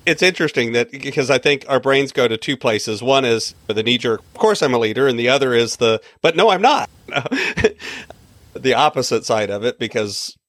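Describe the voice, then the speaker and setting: A man's voice: mid-range voice, expressive, normal speed, a bit bright, no echo. A man hosting a podcast interview, asking questions in an easygoing way with light laughs. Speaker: podcast host.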